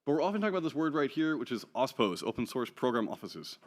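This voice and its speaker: A man's voice: medium pitch, big pitch swings, fast. A man giving a conference talk from slides, chatty and wry, with plenty of ums and offhand jokes. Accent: none New Zealand. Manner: casual, chatty, wry asides with many ums. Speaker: conference speaker. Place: conference hall.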